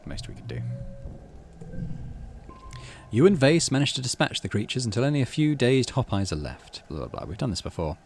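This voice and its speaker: A man's voice: mid-range voice, sing-song, fast, creaky, soft background music. A man hosting a gaming playthrough, musing aloud casually and reading game text as he goes. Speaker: gaming channel host. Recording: faint background noise.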